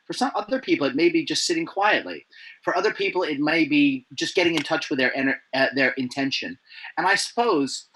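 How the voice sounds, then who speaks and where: A man being interviewed over a video call. He talks in a playful, animated way with many restarts. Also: fairly high pitch, brisk, slightly rough, poor audio. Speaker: guest, presenter coach. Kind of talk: remote interview chat.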